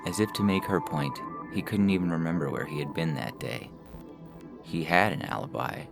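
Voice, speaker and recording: Man, very low gravelly voice, scripted fiction narration, music underneath. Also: normal speed. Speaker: story narrator.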